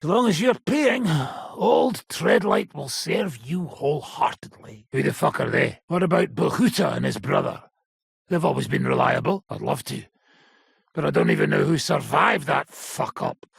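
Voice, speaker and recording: A gruff medieval mercenary chief for a video game. His voice is heavily creaky and very hoarse-edged, swinging widely in pitch. He is blunt, coarse and cynical, swearing freely with a sardonic bite. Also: animated, normal speed, dry recording, clean studio sound, exaggerated voice. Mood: grumbling, sardonic. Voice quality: gravelly, raspy. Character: gruff, foul-mouthed, cynical medieval mercenary band leader. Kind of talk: video game character dialogue.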